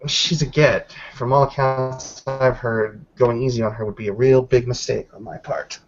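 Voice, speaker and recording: A confident, combative werewolf woman, played by a man at the game table in an everyday mid-pitched voice. The delivery is challenging and martial, and the recording is slightly dull and lo-fi. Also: fairly even intonation, normal speed, faint music behind, lightly acted. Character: martial, cocky female werewolf spoiling for a fight.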